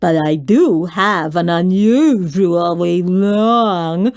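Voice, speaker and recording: A woman's voice: on the low side, animated, darkish tone, no room sound, clean studio sound, voice pushed down, full character voice. A woman voicing a big scruffy boy monster in a storybook, slobbery and whiny, trying to sound menacing. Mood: would-be menacing. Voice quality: slurping, slobbery. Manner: drawn-out, would-be menacing.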